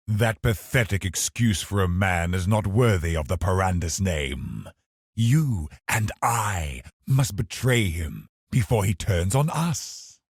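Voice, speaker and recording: An actor playing a scheming, pious villain in a video game, a cold religious officer who speaks in a measured, haughty, husky voice. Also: male voice, sits low, no echo, clean studio sound, full character voice. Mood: scornful. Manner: measured, haughty, calculating, formal. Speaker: game boss character. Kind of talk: dramatic video game voice acting.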